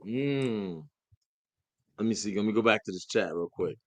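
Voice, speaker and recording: A man co-hosting a casual podcast who reacts excitedly, his voice jumping up when something surprises him. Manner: excitable, quick to react, jumps up in pitch. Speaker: podcast co-host.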